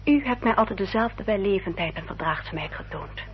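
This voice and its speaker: A woman's voice: mid-range voice, animated, close to an everyday voice. Woman playing an earnest young lady, muffled recording. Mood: solemn, composed. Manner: formal, measured, carefully articulated. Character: earnest, dutiful young upper-class English lady, engaged. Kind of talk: Dutch radio drama acting. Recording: dull, noisy old radio recording with hum.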